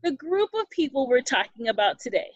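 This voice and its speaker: A woman's voice: sits high, big pitch swings, brisk, husky, dry recording. A woman hosting an interview, eager and upbeat, asking for clarifications. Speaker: interview host. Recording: dull, dry sound.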